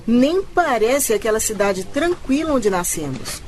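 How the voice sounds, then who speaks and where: A woman's voice: fairly high pitch, sing-song, unhurried, very noisy. Curious, lilting townswoman. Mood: amazed, curious. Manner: wondering, chatty. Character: wide-eyed, curious townswoman marvelling at her changing city. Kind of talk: radio drama acting.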